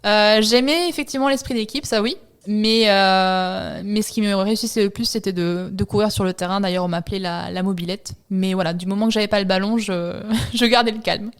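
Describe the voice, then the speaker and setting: A woman in her thirties from France, telling her own story in an interview, relaxed and reflective with many hesitant pauses. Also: medium pitch, clear, resonant tone. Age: 30s. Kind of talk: personal testimony interview.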